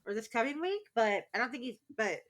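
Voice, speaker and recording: A woman's voice: on the high side, sing-song, a little fast. A woman co-hosting a podcast, chatting casually in a brash, blunt, jokey way. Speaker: podcast co-host.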